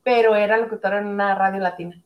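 A woman's voice: mid-range voice, fairly even intonation, fast, dull audio. Chatty woman in an echoey room. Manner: chatty, rambling with fillers. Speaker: guest commentator. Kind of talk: casual gossip chat.

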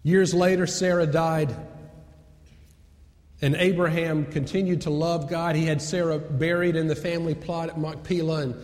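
Male preacher, higher-pitched voice, storytelling sermon with repeated phrases, long hall reverb. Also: flat delivery, moderate pace, darkish tone. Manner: repeats key phrases for emphasis.

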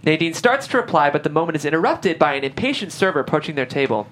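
Very low-voiced woman narrating a script. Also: big pitch swings, fast talker, a little hiss or hum. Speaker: stage-direction reader. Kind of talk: scripted narration at a table read.

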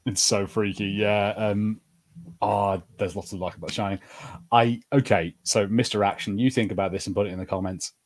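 A man's voice: on the low side, steady tone, moderate pace. A man co-hosting a podcast in casual, bantering conversation about pop culture. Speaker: podcast host.

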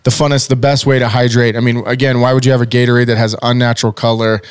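A man in his forties hosting a casual podcast, talking loudly and energetically, joking and using fillers. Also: mid-range voice, level tone, fast, clear, resonant tone, dry recording, studio quality. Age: 40s. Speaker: podcast host. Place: podcast studio. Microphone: studio mic with headphones.

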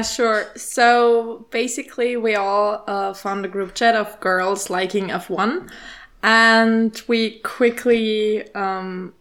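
A woman's voice: on the high side, fairly bright tone. A woman in her twenties from Austria, chatting casually as a guest in an interview, with many fillers and frequent laughs. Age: 20s. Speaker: podcast co-host, guest.

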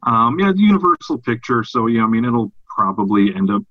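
A man co-hosting a podcast, giving a casual film review and thinking aloud in a halting way. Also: medium pitch, big pitch swings, no echo, muffled recording. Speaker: podcast co-host.

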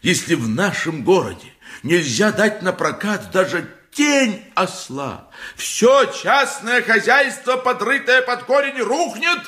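An actor playing an impassioned, indignant lawyer in an ancient court, declaiming pompously in a theatrical radio play style. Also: male voice, high voice, very animated, takes it slowly, sharp tone, exaggerated voice. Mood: pompous indignation.

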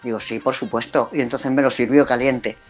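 A woman's voice: extremely low pitch, fairly even intonation, fairly quick, soft background music. A woman from Madrid with a Madrid Spanish accent tinged with Valencian, chatting casually as a podcast guest, laughing often and poking fun at herself. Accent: Madrid Spanish, with some Valencian influence. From Madrid, Spain. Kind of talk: casual chat.

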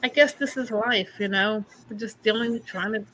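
A woman's voice: a little high, normal speed, a bit bright, a little hiss or hum, poor audio. A woman chatting casually on a live show, rambling about her life and calling people "honey". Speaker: psychic host.

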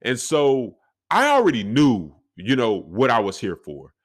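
A young man with a deep voice talking reflectively on his solo podcast, with faith woven into his talk. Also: huge pitch swings, unhurried. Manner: reflective, faith-filled, conversational. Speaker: podcast host.

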